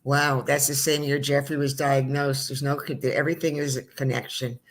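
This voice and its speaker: A woman's voice: a bass voice, flat delivery, moderate pace, slight background noise. Chatty older woman host in her seventies. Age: 70s. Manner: chatty, rambling questions with restarts. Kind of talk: interview.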